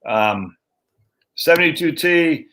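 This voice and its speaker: A man's voice: a little high, lively intonation, slow pace, bright, forceful tone. A man in his forties hosting a webinar, talking in a chatty, informal way with casual slang over a video call from a room at home. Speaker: webinar host.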